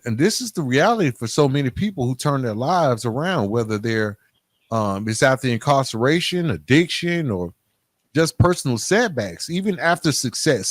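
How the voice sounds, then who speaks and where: A man with a mid-pitched voice and very lively, swinging intonation, recorded dry. He hosts in a motivational storytelling style, leaning on key phrases and pausing for dramatic weight. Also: dry recording. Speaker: podcast host.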